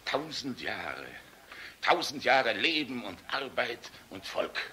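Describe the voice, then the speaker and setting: A blunt, fatherly military general in a radio play. His voice is very bright and forceful, swinging in a lively way between hearty command and sardonic asides, with faint noise behind it. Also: medium pitch, slow pace, full character voice. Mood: boisterous, tipsy. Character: jovial, outspoken Luftwaffe general, blunt and fatherly. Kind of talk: German radio drama acting.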